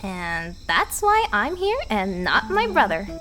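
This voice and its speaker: A woman's voice: medium pitch, huge pitch swings, takes it slowly, sharp tone. A woman voice actor and streamer chatting casually as a guest, joking and informal. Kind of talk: casual chat.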